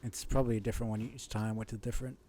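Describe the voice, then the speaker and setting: A man giving casual live commentary on a video game, musing and muttering to himself in an unhurried way as he thinks aloud. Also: lowish voice, little pitch movement, mellow, slight background noise.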